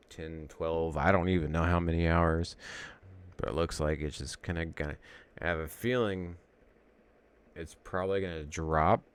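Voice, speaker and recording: A man's voice: low-pitched, darkish tone, clean tone, no echo. A man casually thinking aloud as he walks through trading charts, rambling and correcting himself as he goes. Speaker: trading educator.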